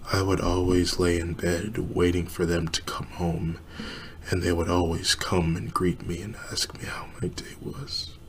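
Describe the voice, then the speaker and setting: A man voicing a frail, dying middle-aged woman who speaks in a weak, slow, whispery voice. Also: deep voice, slight background noise.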